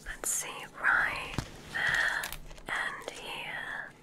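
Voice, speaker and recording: A woman whispering softly in an ASMR roleplay as a kindly witch examiner at a magic school, speaking in a soothing, deliberate, formal way. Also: rough recording. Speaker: ASMR performer.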